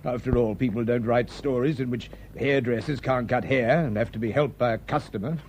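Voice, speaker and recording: An actor playing a chatty, self-assured police detective with a British accent, discursive and opinionated in radio drama dialogue. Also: male voice, medium pitch, fairly quick, a little mellow, a little hiss or hum, close to an everyday voice.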